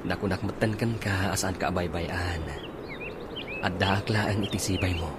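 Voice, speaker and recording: A man's voice: low voice, fairly even intonation, moderate pace, heavy background noise, natural voice. A man playing a hesitant, attentive young boyfriend of an older woman in a radio drama. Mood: soothing.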